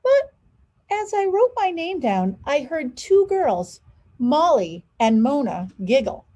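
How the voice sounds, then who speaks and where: A woman reading a children's storybook aloud in an expressive, dramatized storytelling manner. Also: high-pitched, slow pace. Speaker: school leader reading aloud.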